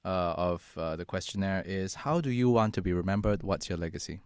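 A man hosting a podcast, asking questions in a relaxed, easygoing way with frequent uhs. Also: low voice, a little fast, clean tone, no echo. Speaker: podcast host. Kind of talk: casual interview chat.

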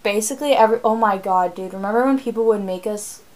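A teenage girl co-hosting a podcast, chatting casually in an animated, rambling way, often laughing. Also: medium pitch, fast. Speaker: podcast co-host. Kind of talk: casual chat.

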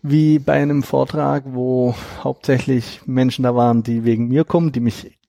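A man being interviewed about his book, talking in a relaxed, hesitant way with repeated words and fillers. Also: medium pitch, normal speed, gravelly, no echo, studio quality. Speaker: guest, author of a book on stuttering. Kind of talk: conversational interview.

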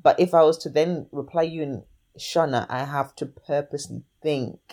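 A woman's voice: low-pitched, normal speed, dark tone. A woman chatting casually with friends, explaining her point earnestly and with emphasis. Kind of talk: casual chat.

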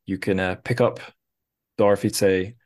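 A man's voice: deep voice, steady tone, normal speed, a little mellow. A man hosting an interview podcast in casual chat, hesitant and often correcting himself as he goes.

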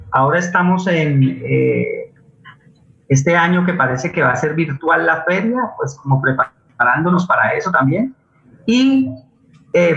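A man with a Colombian accent speaks in a fairly high voice, feeling for his words as he talks informally as a guest. The sound is dull and very roomy, like an internet call. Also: fairly bright tone. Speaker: guest playwright and community theatre leader. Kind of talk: unscripted forum talk about theatre projects.

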